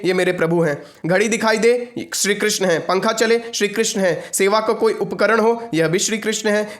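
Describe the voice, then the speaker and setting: Young man, high-pitched voice, spiritual teacher, informal devotional discourse, earnest, instructive, repetitive emphasis. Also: fairly bright tone. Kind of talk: devotional discourse, mixing reading with informal explanation.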